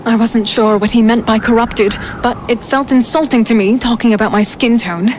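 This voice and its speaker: A woman's voice: fairly high pitch, fairly even intonation, moderate pace, darkish tone, a little hiss or hum, natural voice. A woman playing a defiant human fighter, telling her ordeal in first person as dramatic fantasy storytelling. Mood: tense, reflective. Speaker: narrator and heroine.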